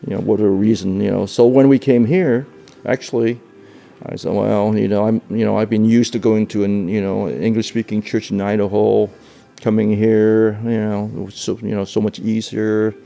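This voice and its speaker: An older man from Hong Kong giving an oral history interview, speaking haltingly with frequent repeated words and laughs. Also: on the low side, flat delivery, gravelly, dark tone, faint music behind.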